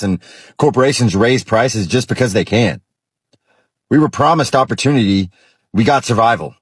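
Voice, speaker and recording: An American man delivering a husky spoken opinion monologue. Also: mid-range voice, no echo, clean studio sound.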